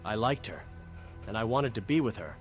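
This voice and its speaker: Earnest elf adventurer, acted by a man, lowish voice, reflective and measured game dialogue over faint music. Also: animated, lightly acted. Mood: earnest, wistful. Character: male wood elf companion, earnest lovelorn adventurer. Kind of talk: scripted game companion dialogue. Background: game music behind the voice.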